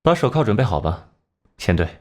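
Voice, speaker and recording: Coolly arrogant genius detective, animated delivery. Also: male voice, fairly low pitch. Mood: coolly explaining. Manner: measured, condescending, explanatory. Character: cool, arrogant genius male detective, sharp-tongued and composed. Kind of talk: animated crime drama acting.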